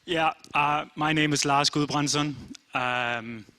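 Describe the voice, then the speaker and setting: Bright-voiced Danish man giving a speech. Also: on the high side, slow. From Denmark. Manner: plain-spoken, steady, with frequent "uh" and "basically". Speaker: company CEO giving an awards talk. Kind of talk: short conference speech. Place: event room.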